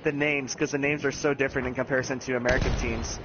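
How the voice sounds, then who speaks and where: A man giving play-by-play commentary on an online match, talking fast with the odd chuckle. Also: mid-range voice, muffled recording, noisy background. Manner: rapid, animated play-by-play with chuckles.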